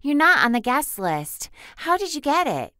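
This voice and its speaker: A woman's voice: high voice, sing-song, moderate pace, pushed and bright, no echo, studio quality, heavily acted. A woman acting a sly, predatory alien beast disguised as a schoolgirl in an audio drama, mock-polite and threatening. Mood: cold threatening.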